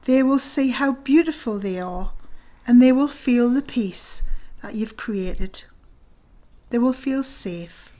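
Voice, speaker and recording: Actress as middle-aged lady of the house, high-pitched, dignified, gracious, bereaved, period radio drama. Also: slow pace, warm tone. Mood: gracious, solemn. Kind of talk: audio drama acting.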